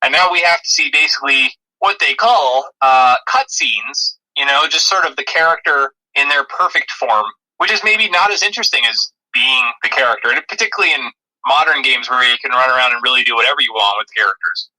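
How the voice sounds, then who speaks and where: Very bright-voiced man co-hosting a podcast. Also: medium pitch, animated, a little fast, poor audio. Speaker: podcast co-host. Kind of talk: casual movie review chat.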